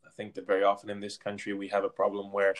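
A man's voice: fairly low pitch, monotone, quick pace. A man from Belgium speaking informally in an interview as a soccer coach, often circling back and repeating words. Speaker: interview guest, soccer coach and team captain. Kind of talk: conversational interview.

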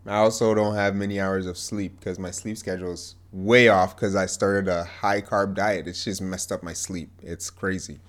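A man's voice: deep voice, flat delivery, normal speed, hardly any pauses. Congested man in casual podcast banter. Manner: joking, teasing banter. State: congested, stuffed-up nose. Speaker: podcast co-host.

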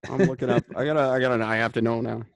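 A man's voice: mid-range voice, steady tone, racing, no echo. A man in his forties co-hosting a podcast, rambling and sarcastic, swearing freely in casual banter. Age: 40s. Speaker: podcast co-host.

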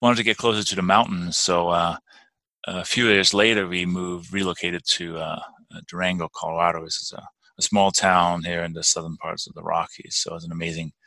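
A man's voice: sits low, level tone, a little fast, bright, forceful tone, no room sound. A man in his forties with a Danish accent, answering interview questions hesitantly, with many fillers and restarts. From Denmark. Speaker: podcast guest.

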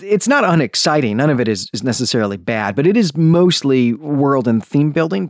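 A man hosting a podcast, telling a story in a conversational, animated way with casual asides. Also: medium pitch, dry recording, clean studio sound. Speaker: podcast host. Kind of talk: conversational book summary and commentary.

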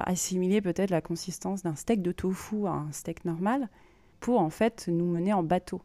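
A woman hosting a podcast, explaining things informally in a monologue and pausing as she thinks aloud. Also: lowish voice, mellow, no room sound. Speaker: podcast host and creative writing workshop leader.

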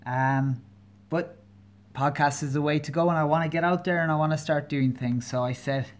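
A fortyish man from Kerry, speaking with an Irish accent and a voice pitched a little above the usual male range. He hosts a solo podcast, talking candidly and earnestly, with plenty of pauses, ums and restarts. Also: fairly even intonation. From Ireland. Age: 40s. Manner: hesitant and earnest, many pauses and fillers; candid, openly personal. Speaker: podcast host.

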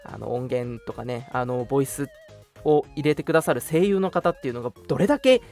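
A man's voice: mid-range voice, animated, brisk, darkish tone, faint music behind. A young man hosting an online radio show, making announcements in a polite, earnest, conversational way. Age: young adult.

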